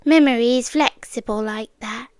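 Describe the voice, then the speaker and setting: A British woman playing an obsessive, gently menacing girlfriend in an intimate ASMR roleplay, speaking in a hushed, deliberate way that often slips into whispers, close to the microphone. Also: fairly high pitch, clean tone, dry recording, lightly acted. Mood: wistful, unsettling. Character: soft-spoken obsessive yandere girlfriend, gently menacing captor. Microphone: close microphone, dry.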